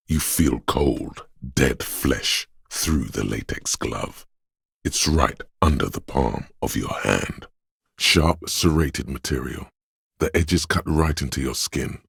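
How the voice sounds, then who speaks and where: A brooding inner voice of the senses for a game, slow and hushed as it lingers on smell, touch and sound. The voice sits extremely low, with a husky rasp. It is recorded close and dry in a studio booth. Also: male voice, no echo, heavily acted. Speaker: voice of a game's inner perception skill. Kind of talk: second-person game narration.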